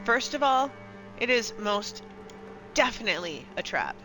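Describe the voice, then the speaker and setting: A woman's voice: a little high, big pitch swings, a little slow, sharp tone, soft background music. A woman giving casual, playful live commentary while streaming a video game.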